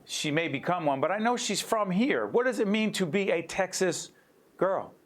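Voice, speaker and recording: A man's voice: sits high, animated, normal speed. A man from New Jersey hosting an interview, chatty and joking, often restarting and wandering off his questions. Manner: chatty, jokey, restarts and digresses. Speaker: interview host. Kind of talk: casual interview chat.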